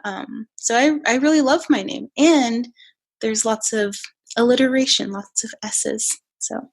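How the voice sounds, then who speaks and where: An American woman speaks as a guest in a casual interview. She answers thoughtfully and amiably, pausing on "um" as she gathers her thoughts. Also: fairly high pitch. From United States.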